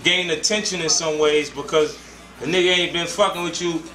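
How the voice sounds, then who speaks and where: High-voiced man, bright and forceful. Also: steady tone, moderate pace, reverberant, poor audio. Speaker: prank caller. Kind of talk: casual, profane phone banter.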